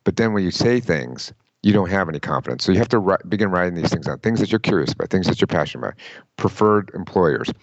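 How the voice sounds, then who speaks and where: A man giving career advice in an interview, emphatic and lively, often quoting people in mock voices. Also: low voice, fast, no echo, dull audio. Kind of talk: conversational interview.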